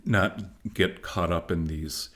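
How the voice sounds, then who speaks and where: A middle-aged man, a philosopher and author, speaking as a podcast guest in a measured, reflective way with frequent pauses and fillers. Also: low-pitched, fairly even intonation, a bit bright. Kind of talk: podcast interview.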